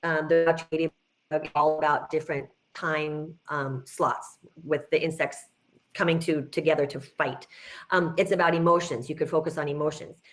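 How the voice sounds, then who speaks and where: Woman, middle-aged, slightly low voice. Guest educator in an informal Q&A, encouraging, explains with emphasis. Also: steady tone, moderate pace, faint music behind. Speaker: guest speaker, kindergarten teacher. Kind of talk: informal educational talk, answering questions.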